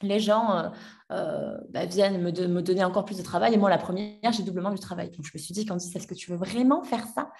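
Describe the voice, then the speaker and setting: A woman's voice: lowish voice, a little fast, vocal fry, a little mellow. A woman in her twenties telling her personal story as a podcast guest, hesitant, with many fillers and restarts. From France. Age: 20s. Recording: voice a bit distant from the mic.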